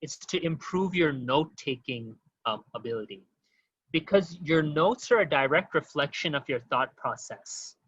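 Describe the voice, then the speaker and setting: A man teaching an online exam-prep webinar, talking quickly and informally to his audience with many fillers. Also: fairly high pitch, a bit bright, breathy edge, dry recording, muffled recording.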